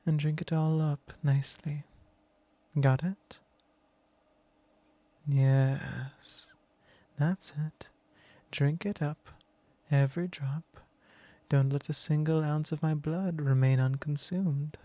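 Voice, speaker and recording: A man voicing a possessive, commanding male vampire lord in an ASMR roleplay, speaking slow, low and intimate, often in a whisper. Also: fairly even intonation, warm tone, no room sound.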